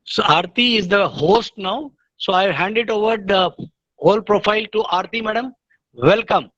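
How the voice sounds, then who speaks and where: A man from India hosting an online community meetup, enthusiastic and halting, often repeating words. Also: sits high, fairly bright tone, no echo, highs cut off. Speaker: meeting host.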